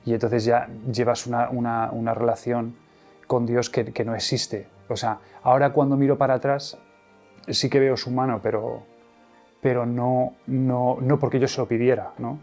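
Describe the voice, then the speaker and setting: A middle-aged man speaks in a warm, dark-toned voice. He recounts his personal story haltingly, full of pauses, fillers and false starts. Soft music plays faintly beneath him. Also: medium pitch, flat delivery. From Madrid, Spain. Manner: hesitant, many fillers and restarts. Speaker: interview guest. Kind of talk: personal testimony interview.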